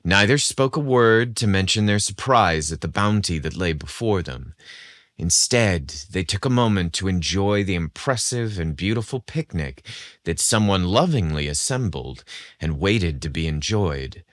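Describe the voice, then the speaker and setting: Male podcast narrator, deep register, deliberate scripted delivery, very dry sound. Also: expressive, a bit bright, dry recording. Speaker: podcast host and story narrator.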